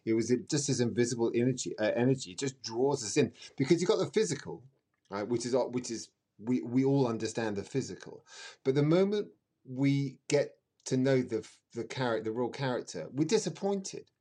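A middle-aged man explaining things conversationally as a podcast guest, emphatic, with dramatic pauses and repetition. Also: medium pitch, animated, dark tone. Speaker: astrologer guest.

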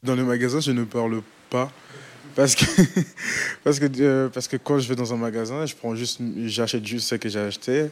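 A man's voice: mid-range voice, fairly even intonation, moderate pace. A teenage boy from Kinshasa answering a street interview in a casual, hesitant way, full of restarts and fillers. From Democratic Republic of the Congo. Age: teens.

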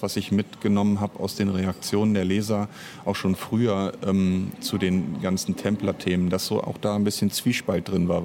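A man's voice: sits low, darkish tone, a little hiss or hum. A man speaking unscripted as an interview guest, an audio drama author and director who reflects hesitantly and searches for words.

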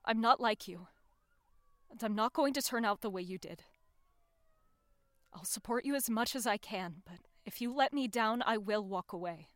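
Woman playing a bright, earnest young daughter. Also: medium pitch, normal speed, pauses often, no echo, clean studio sound, natural voice. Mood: firm, caring. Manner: earnest, firm. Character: earnest, loving but firm daughter. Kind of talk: audio drama acting.

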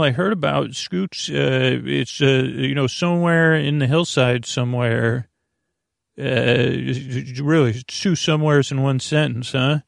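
A man with a creaky, gravelly voice hosting a bedtime podcast in a soothing, halting, rambling monologue that drifts into tangents. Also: medium pitch, no echo, clean studio sound. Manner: meandering, halting, stop-and-start, trailing off into tangents; soothing, lulling. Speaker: podcast host.

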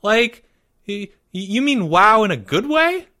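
A man's voice: high-pitched, sing-song, sharp tone, pitched above the performer's own voice, full character voice. A man voicing a timid, anxious young boy, loyal and stammering, in a storybook reading. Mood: nervous, pleading. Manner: stammering, halting.

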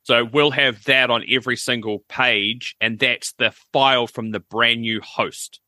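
A man's voice: medium pitch, fairly even intonation, a little slow, sharp tone, no room sound. A man, co-hosting a podcast in casual chat, explaining things at length with wry asides. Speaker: podcast co-host.

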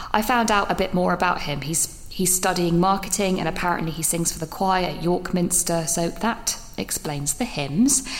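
An actress playing an anxious young student who rambles through a voice note with many restarts, half whispering at first. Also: female voice, fairly low pitch, long reverb tail. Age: young adult. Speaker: university student leaving a voice note. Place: small echoey toilet room.

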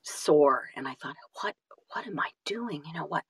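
Female interview guest, slightly low-pitched, self-deprecating storyteller, casual and emphatic, very dry close sound. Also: animated, moderate pace, vocal fry, slightly rough, dry recording. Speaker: guest, audiobook narrator and actress.

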